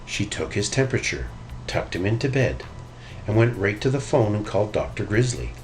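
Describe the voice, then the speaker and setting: A man narrating a children's story in an expressive read-aloud style. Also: fairly low pitch, normal speed, faint music behind, low-quality recording. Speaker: storybook reader.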